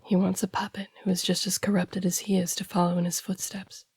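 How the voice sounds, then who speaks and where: A very breathy, husky woman playing a masked assassin sworn to royalty, with gravelly edges and a restrained, even melody. The speech is hushed, formal and devoted, as in an intimate fantasy audio roleplay. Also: lowish voice, fairly quick. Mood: quiet contempt.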